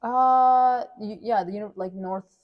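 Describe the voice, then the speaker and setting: A woman's voice: medium pitch, muffled recording. A young woman from Mexico, a guest in a casual interview, talking chattily with lots of fillers and restarts. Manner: chatty, many fillers and restarts, quick to laugh.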